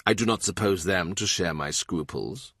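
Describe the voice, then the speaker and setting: A cold, quietly scornful statesman, voiced by a man reading aloud. He speaks in a soft, low, amused murmur, deep and hushed. The recording is dry and close. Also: moderate pace, slightly rough, no echo, exaggerated voice.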